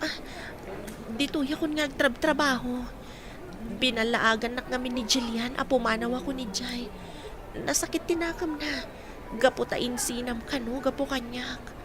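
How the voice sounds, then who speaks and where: A woman's voice: sits high, steady tone, normal speed, pauses often, natural voice. A woman playing an earnest, polite young working woman in an audio drama.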